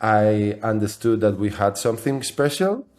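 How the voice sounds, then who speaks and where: A man with a fairly low voice, speaking English as a second language. He talks as an interview guest, recounting things conversationally, often restarting and repeating his phrases. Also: lively intonation, moderate pace, a little mellow. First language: not English. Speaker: interview guest, software company CEO.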